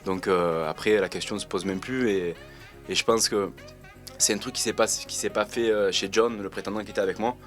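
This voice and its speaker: A man, answering interview questions in a relaxed, slangy way and pausing often with fillers. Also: lowish voice, soft background music. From Marseille, France. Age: 20s. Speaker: reality TV contestant interviewed.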